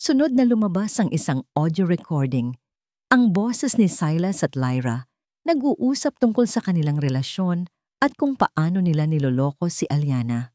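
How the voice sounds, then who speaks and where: A woman's voice: fairly low pitch, very animated, normal speed, dark tone, no room sound, studio quality. A woman with a Filipino accent reading a scripted romance drama as a story narrator.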